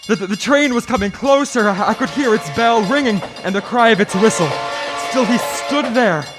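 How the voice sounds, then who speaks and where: Man acting a haunted, stammering driver, higher-pitched voice. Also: moderate pace, over music, close to an everyday voice. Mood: panicked dread. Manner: stammering, urgent, intense. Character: haunted, increasingly frantic male cross-country driver. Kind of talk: old-time radio drama acting with first-person narration.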